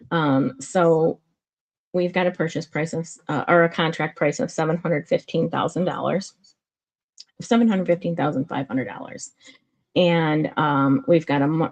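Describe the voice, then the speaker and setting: A woman with a low, gravelly, nasal voice talks through a real estate contract on a live stream. She explains each point step by step in a casual way. Her talk is loose, with many "um"s and restarts. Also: a little mellow, lo-fi sound. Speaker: commentary streamer. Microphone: streaming setup mic.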